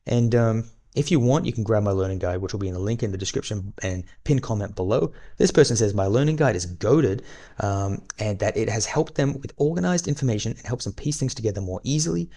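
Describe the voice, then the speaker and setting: A man teaching an exam-prep lesson in a quick, chatty, encouraging way, calling his viewers guys, with a voice that is wearing out. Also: on the low side, warm tone. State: losing his voice. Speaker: online course instructor.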